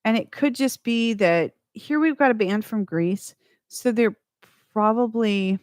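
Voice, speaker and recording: Slow-talking woman radio DJ, dry mic. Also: fairly high pitch, darkish tone, dry recording. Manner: slow, rambling, self-correcting. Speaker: radio show host. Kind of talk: casual radio DJ talk between tracks.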